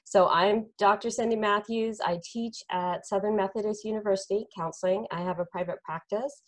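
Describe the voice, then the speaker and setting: A woman's voice: medium pitch, steady tone, moderate pace. A woman hosting an informal online discussion, explaining things in a conversational way like a counselor and lecturer. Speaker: interview moderator, counselor and lecturer. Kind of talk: informal online panel introduction.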